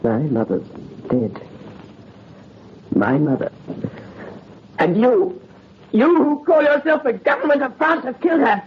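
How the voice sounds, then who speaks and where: Anguished, high-pitched young aristocrat. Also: male voice, big pitch swings, unhurried, pauses often, exaggerated voice. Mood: grief-stricken vow. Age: young adult. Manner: impassioned, melodramatic, archaic theatrical speech. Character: anguished, defiant young French aristocrat son. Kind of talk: old-time radio horror drama acting. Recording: muffled, noisy old radio recording.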